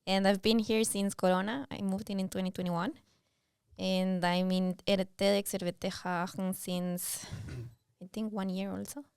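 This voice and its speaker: A woman's voice: mid-range voice, flat delivery, moderate pace, vocal fry, darkish tone, smooth, no room sound, clean studio sound. A young woman from Costa Rica, a podcast guest, chatting casually with light, laughing remarks. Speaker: guest, team lead. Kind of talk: casual interview chat.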